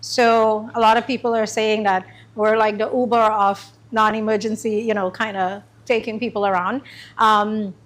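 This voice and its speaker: A middle-aged woman from Trinidad and Tobago giving a chatty, informal talk about her business to a small group, with many pauses and ums. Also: medium pitch, flat delivery. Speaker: small business owner presenting. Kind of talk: informal pitch presentation. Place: meeting room.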